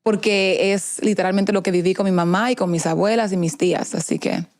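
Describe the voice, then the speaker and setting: A woman's voice: mid-range voice, steady tone, a little fast, a little mellow. A young woman with a Dominican accent, chatting casually as an interview guest, mixing Spanish and English and laughing often. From Dominican heritage, raised in US Virgin Islands. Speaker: guest, media personality. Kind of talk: casual bilingual interview chat.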